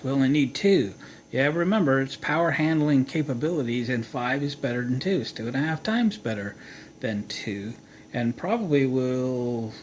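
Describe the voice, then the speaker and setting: An American man hosting a repair how-to video, talking in a casual, folksy way and thinking aloud as he demonstrates. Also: medium pitch. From United States. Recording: clear background noise.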